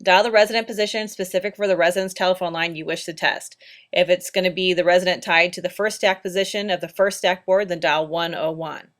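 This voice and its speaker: Fast-talking woman presenting technical instructions. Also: lowish voice, fairly even intonation, fairly bright tone. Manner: plain, practical, step-by-step. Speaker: training presenter. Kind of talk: instructional slide narration.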